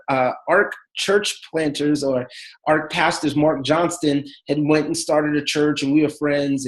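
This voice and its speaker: A man, a pastor telling his life story in a relaxed, chatty way. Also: a little high, rough recording. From the Bronx, New York City. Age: 40s. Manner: relaxed and chatty, many fillers, laughs between thoughts. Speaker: pastor, guest. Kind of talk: casual personal storytelling.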